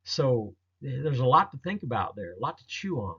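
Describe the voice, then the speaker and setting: A man's voice: medium pitch, big pitch swings, fairly bright tone, breathy edge. Rambling man presenting, slightly dull lo-fi sound. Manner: rambling, halting, repeats words. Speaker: host of Bigfoot research channel. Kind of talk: casual storytelling, explaining pictures to viewers.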